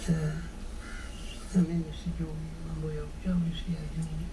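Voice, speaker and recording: An older man interviewed, speaking quietly and softly with fillers. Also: a little high, completely flat delivery, moderate pace, noisy background.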